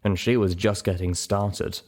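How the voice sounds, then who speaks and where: A man's voice: deep voice, steady tone, a little slow, darkish tone. A man narrating a story in the first person as a dramatic scripted reading. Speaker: story reader.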